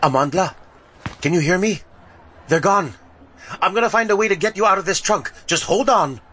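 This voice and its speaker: A man's voice: high-pitched, normal speed, pushed and bright, a little hiss or hum. An actor playing an anxious, earnest, hapless young policeman in a radio drama, speaking hesitantly. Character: anxious, earnest, hapless young male cop turning rescuer.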